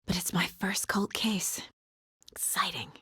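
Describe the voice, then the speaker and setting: A woman's voice: medium pitch, unhurried, fairly bright tone, slightly rough, dry recording, whispered, studio quality. An actress voicing a focused, determined female investigator in a video game, troubled but dryly wry. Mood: hushed, brooding. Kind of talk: dramatic game voice lines.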